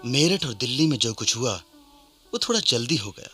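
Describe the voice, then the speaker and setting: A man's voice: mid-range voice, big pitch swings, normal speed, loud music behind the voice, close to an everyday voice. An actor playing an earnest, respectful rebel general advising his leader in a historical radio play. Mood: earnest, concerned.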